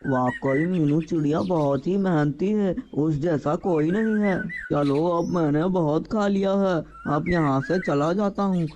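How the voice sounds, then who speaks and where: A man's voice: a little high, unhurried, hardly any pauses, a little mellow, a little hiss or hum, lightly acted, poor audio. A man voicing a lazy, greedy, scheming male crow in a children's cartoon, exclaiming and repeating words as he talks to himself. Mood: smug contentment. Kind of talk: children's cartoon story dialogue.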